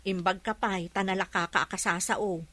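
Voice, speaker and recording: A woman's voice: mid-range voice, steady tone, moderate pace, pushed and bright, no echo. A woman playing an indignant, blunt neighbour who complains insistently in a radio drama. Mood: exasperated.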